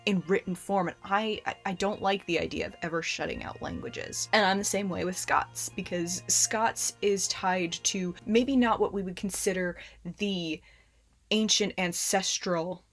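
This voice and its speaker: A young adult woman with an American accent, talking casually to camera as a host in a chatty, animated way with frequent restarts. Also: on the low side, measured pace, soft background music. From United States. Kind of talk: casual explanatory talk to camera.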